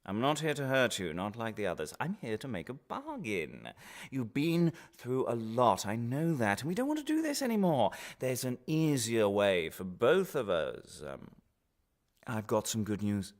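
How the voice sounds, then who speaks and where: A man playing an officious, falsely reasonable interrogator in a radio drama, patronising and quietly menacing. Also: mid-range voice, sing-song. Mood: coaxing. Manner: officious, patronising, measured with pointed pauses.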